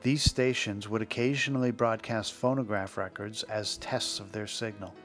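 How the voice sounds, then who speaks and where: A man's voice: lowish voice, fairly even intonation, normal speed, fairly bright tone, faint music behind. A man reading scripted documentary narration in a conversational storytelling manner with wry asides, as the host.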